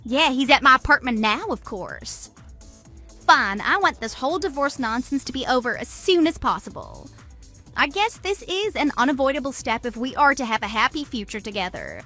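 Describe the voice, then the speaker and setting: A woman acts out a gloating man-stealer in a radio drama. Her voice is bright and sharp, swooping with exaggerated, boastful taunts over a music bed. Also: high-pitched, animated, moderate pace, exaggerated voice. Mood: smug, impatient. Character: smug, gloating mistress who steals other women's men. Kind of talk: scripted radio drama dialogue.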